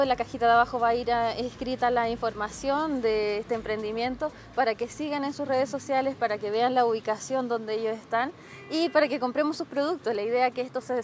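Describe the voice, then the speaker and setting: A woman's voice: a little high, slight background noise. Upbeat young woman host outdoors. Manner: upbeat, promotional. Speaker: interviewer and host. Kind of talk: local web TV interview. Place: outdoor town plaza. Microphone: handheld mic.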